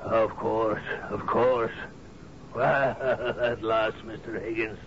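Courteous gentleman newcomer, deferential, middling pitch, radio drama acting, dull sound with clear noise. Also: fairly even intonation, drawn-out words. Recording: muffled old radio broadcast, clear noise.

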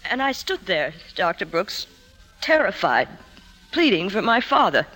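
An actress playing a troubled woman confessing painful memories in an emotive, halting way, in 1950s radio drama style. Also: medium pitch, sing-song, fairly bright tone, rough recording. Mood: troubled, remembering. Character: troubled career woman confiding painful childhood memories to her doctor. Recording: 1950s radio broadcast, music behind.

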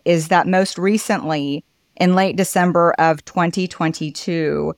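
Lower-voiced woman hosting a podcast. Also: no echo, studio quality. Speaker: podcast host. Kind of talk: interview hosting.

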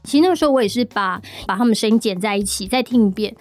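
A woman's voice: fairly high pitch, lively intonation, rapid-fire, darkish tone, dry recording. A Taiwanese woman hosting a casual podcast interview, chatting animatedly and repeating short phrases for emphasis. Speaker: podcast host, audio drama producer.

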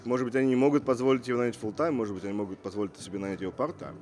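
A man's voice: mid-range voice, very fast, a little mellow, faint music behind. A man who grew up in Russia, speaking as a guest entrepreneur in an interview, assertive and fond of rhetorical questions. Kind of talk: casual interview talk.

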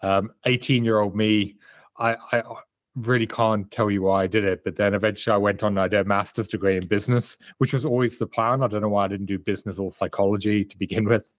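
A man's voice: on the low side, steady tone, fast talker, dry recording. A man in his thirties from South Africa, a performance coach and author answering interview questions in a candid, reflective way over a remote call.

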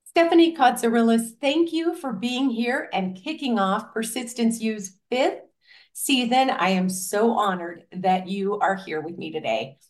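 A woman's voice: on the high side, measured pace. An older woman hosting a podcast, speaking in a grateful, effusive way as she introduces her guest. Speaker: podcast host.

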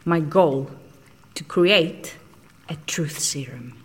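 Female scientist, low-pitched, blunt, matter-of-fact, socially outcast human lie detector, audio drama. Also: slow pace, breathy edge, full character voice. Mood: bitter, earnest. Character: frank, socially outcast woman scientist who detects lies.

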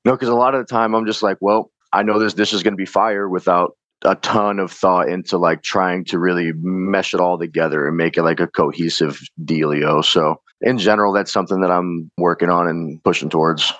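A man with a slightly hoarse, raspy voice, a chef from Chicago talking in a laid-back, slangy way with casual swearing. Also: sits low, steady tone, fairly quick, hardly any pauses, a bit bright, no room sound. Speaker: chef guest. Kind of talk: casual interview chat. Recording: dull, lo-fi podcast mic.

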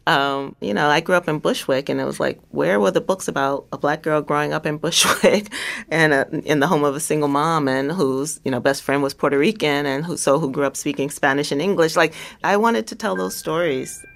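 A woman author from South Carolina speaking in a measured, thoughtful way, with soft laughs between phrases. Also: low voice, vocal fry. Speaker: guest author. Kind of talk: reflective interview and talk excerpts.

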